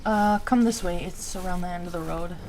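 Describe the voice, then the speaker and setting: A woman acting an insecure, hurt but tender young girlfriend in an intimate audio roleplay, speaking haltingly and with raw emotion. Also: lowish voice, a little mellow, slight background noise, natural voice. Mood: subdued, weary.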